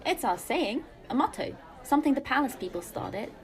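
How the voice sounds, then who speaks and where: A woman's voice: high-pitched, very animated, moderate pace, some chatter behind. A woman acting in an audio play as a chatty, motherly café woman fond of gossip. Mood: gossipy, lively.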